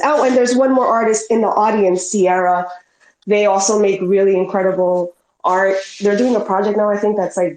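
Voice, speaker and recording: A woman with a stuffy cold chatting casually as a guest artist on an online call, often pausing to clarify herself. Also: medium pitch, a little mellow. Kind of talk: casual chat. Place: live online audio call from New York. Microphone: headset. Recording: roomy, echoing online call.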